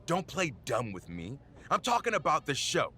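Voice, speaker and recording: Game casting: aggrieved wrestler, sharp and very animated delivery, emphatic and confrontational. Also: male voice, fairly high pitch, normal speed, slight background noise, heavily acted. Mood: stern, accusing. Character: indignant male wrestler, aggrieved video game show creator.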